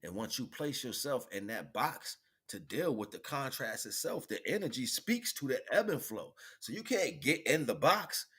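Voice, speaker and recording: A man with a bright, forceful voice talks straight to his listeners in a rambling, conversational monologue. He preaches in informal slang, repeating phrases for emphasis and often checking that people follow. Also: medium pitch, big pitch swings, normal speed, few pauses, husky. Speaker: spirituality vlogger, livestream host.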